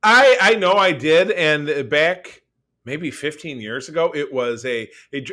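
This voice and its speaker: A man's voice: mid-range voice, bright, forceful tone. A man co-hosting a podcast, talking in a casual, rambling conversational way. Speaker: podcast co-host. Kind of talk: casual discussion of comics and media.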